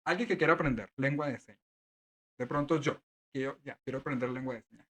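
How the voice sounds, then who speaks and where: A man's voice: mid-range voice, fast, fairly bright tone. A man hosting a casual talk show, chatty and agreeable, often affirming his guest with short replies. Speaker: podcast host. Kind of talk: casual chat.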